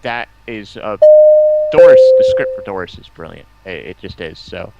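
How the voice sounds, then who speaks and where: Guy with a lowish voice, casual stream banter, keeps restarting his words. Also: big pitch swings, creaky, lo-fi sound, heavy background noise. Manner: halting, repeats words as he starts sentences. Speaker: guest on a gaming livestream.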